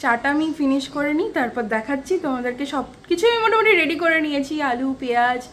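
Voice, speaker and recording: High-voiced West Bengali woman vlogging. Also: fast, fairly bright tone. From West Bengal, India. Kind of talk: casual chatty home vlog, storytelling to viewers. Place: small one-room home. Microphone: handheld camera at arm's length. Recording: faint background noise.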